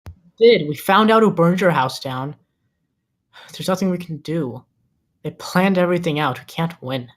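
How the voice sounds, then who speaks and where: A man playing a defeated, weary ordinary guy in a screenplay table read, speaking in a flat, resigned way. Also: on the high side, moderate pace, long pauses, lightly acted. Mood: defeated, frustrated.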